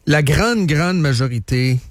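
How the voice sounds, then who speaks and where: A man's voice: a little high, animated, a little slow, no echo. A man with a Quebec French accent hosting a casual, opinionated current affairs talk show in colloquial speech sprinkled with English, repeating phrases for emphasis. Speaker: radio host.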